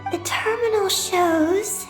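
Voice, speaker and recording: A woman voicing a calm, reserved young female guide in a fantasy game, speaking in a measured, matter-of-fact, gentle way. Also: very high-pitched, reverberant, over music. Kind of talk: video game voice acting.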